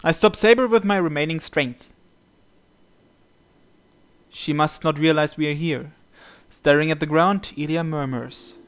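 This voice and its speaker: A man reading a visual novel's story text aloud as narration, in a measured, deliberate way. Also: on the high side, a bit bright, clean tone. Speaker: video game player reading the script aloud.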